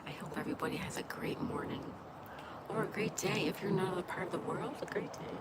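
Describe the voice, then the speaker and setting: A woman with a lowish voice and a congested, stuffed-up nose chats casually on a livestream. She greets viewers by name and answers their comments as they come in. Loud background noise runs under the whole recording. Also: fast. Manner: greets viewers by name and reads chat aloud. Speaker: livestream host. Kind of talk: casual live chat with viewers.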